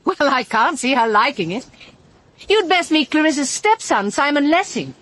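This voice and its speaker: An actress playing a sharp, scheming, outspoken British woman with a brisk sardonic manner in a radio drama. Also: high voice, very animated. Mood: brisk, nosy. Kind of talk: full-cast radio drama acting.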